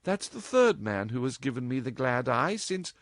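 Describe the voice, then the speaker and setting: A man's voice: mid-range voice, sing-song, normal speed, dry recording, studio quality, pitched above the performer's own voice, natural voice. A man reading aloud and voicing an impudent, teasing young woman who talks flippantly to her mother. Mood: playful. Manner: playful, cheeky, flippant.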